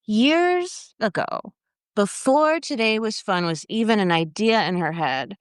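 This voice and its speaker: Woman, article narration, measured, expressive, slightly low voice, dry booth sound. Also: dry recording. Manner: measured, expressive read-aloud delivery. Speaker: editor reading her own article.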